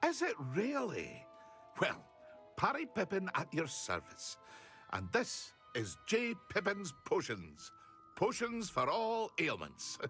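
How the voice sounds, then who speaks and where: A man's voice: sits high, wildly swooping pitch, slow pace, fairly bright tone, faint music behind, heavily acted. A man playing a chatty, eager potion shopkeeper in a fantasy video game, effusive and a little fussy. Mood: flustered, welcoming.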